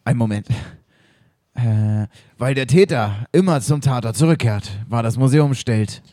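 A man's voice: mid-range voice, expressive, moderate pace, warm tone, dry recording, close to an everyday voice. Man playing a fumbling police chief, dry sound. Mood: flustered. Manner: hesitant, losing his thread. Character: fumbling, admiring male police chief, eager but slow to follow. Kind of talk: improvised German audio drama.

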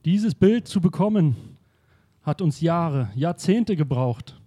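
Ein Mann spielt einen aufgeregten, stockenden Museumsdirektor in einem improvisierten Hörspiel. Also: male voice, on the high side, expressive, slow pace, warm tone, husky, exaggerated voice. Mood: fussy. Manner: hesitant, stammering, fussy. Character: flustered male museum director, older. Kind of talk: improvised radio play.

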